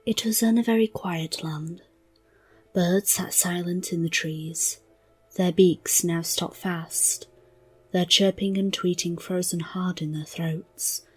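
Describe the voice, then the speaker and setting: Female narrator, breathy and hushed, very slow storybook reading over soft music. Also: on the low side, fairly even intonation. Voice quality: breathy, half-whispered. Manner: hushed, deliberate. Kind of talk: scripted fairy-tale narration over music.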